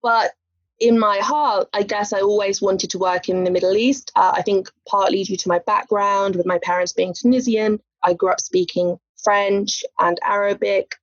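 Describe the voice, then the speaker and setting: A chatty woman in her thirties, speaking as an interview guest with easy laughs and lots of fillers. Her voice sits at a middle pitch. The recording is dull and muffled, with no room sound at all. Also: steady tone, normal speed, no room sound. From England. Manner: chatty, with light laughs and frequent "you know" fillers. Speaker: lawyer and podcast guest. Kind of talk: casual interview conversation. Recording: muffled, dry podcast audio.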